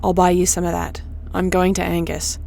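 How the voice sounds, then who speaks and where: A woman's voice: fairly low pitch, monotone, normal speed, gravelly, mellow. A woman playing a tough, defiant starship captain in a sci-fi audio drama, speaking bluntly and with command. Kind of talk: sci-fi audio roleplay acting. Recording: faint background noise.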